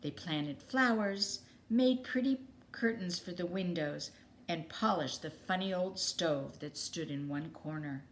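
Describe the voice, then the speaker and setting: A woman reading a children's story aloud expressively, with dramatic pauses and emphasis. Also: fairly low pitch, slight background noise.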